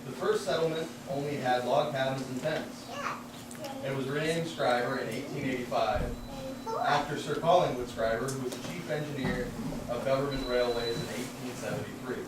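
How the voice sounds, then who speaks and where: A man's voice: mid-range voice, normal speed, natural voice. A man playing an earnest puppet host in a scripted children's show, teaching town history and putting questions to the kids. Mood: cheerful, teacherly. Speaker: puppeteer, presenter. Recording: very echoey, distant, noisy room.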